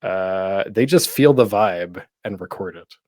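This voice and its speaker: A man's voice: low-pitched, animated, measured pace, darkish tone, dry recording. A man presenting a casual, conversational tutorial as a panel host, rambling and self-deprecating with jokey, geeky asides, speaking close to the microphone. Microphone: close microphone with a pop screen.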